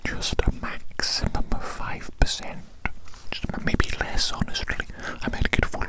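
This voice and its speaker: A performer whispering as a polite, greedy alien in an ASMR roleplay, coaxing and repeating phrases in a breathy, unvoiced voice. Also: measured pace, lightly acted. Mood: coaxing, eager. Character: polite, greedy alien eager to eat a human.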